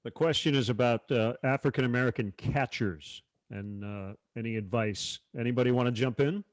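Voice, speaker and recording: A man's voice: medium pitch, moderate pace, no echo. A man moderating a virtual panel, passing along questions in a plain conversational way. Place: virtual panel over video call.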